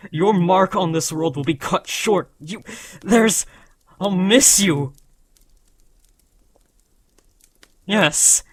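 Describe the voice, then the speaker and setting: A man's voice: a little high, a bit bright, a little hiss or hum. A man voicing an ancient, proud dragon who scolds a beloved rider in a solemn, formal, dramatic fantasy roleplay. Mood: exasperated grief.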